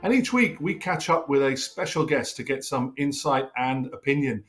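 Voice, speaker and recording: A man's voice: medium pitch, lively intonation, normal speed, a bit bright. A middle-aged man hosting a business interview, friendly and conversational with some restarts. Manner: friendly, scripted intro, then conversational with restarts. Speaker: host.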